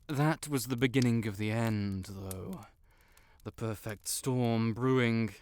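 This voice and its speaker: A man playing a vain, stuck-up young wizard with a secret soft spot, pompous and theatrical, in a roleplay audio drama. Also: medium pitch, slow pace, lightly acted. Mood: boastful. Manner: pompous, theatrical, haughty, flustered outbursts. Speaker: voice actor.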